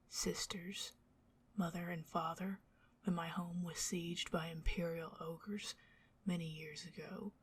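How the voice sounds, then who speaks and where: A woman voicing a doting, wry, centuries-old female vampire in a whispered ASMR roleplay, breathy and formal in her old-fashioned phrasing. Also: fairly low pitch, slight background noise. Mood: coy, fond.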